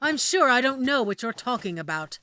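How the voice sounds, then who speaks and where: Casting: actress as scheming older queen, haughty and imperious, high sharp voice, indignant delivery for fantasy audio drama. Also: sing-song, moderate pace. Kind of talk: fantasy audio roleplay acting.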